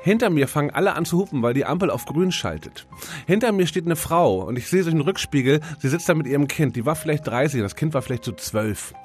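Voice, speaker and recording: A congested man co-hosts a casual radio show, voice sitting a little high for a man. He spins long, self-mocking stories with loose, chatty energy. Faint music plays behind him. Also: big pitch swings, quick pace, a little mellow. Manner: rambling, self-mocking anecdotes. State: has a cold, congested. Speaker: radio co-host. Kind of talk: casual radio banter and storytelling.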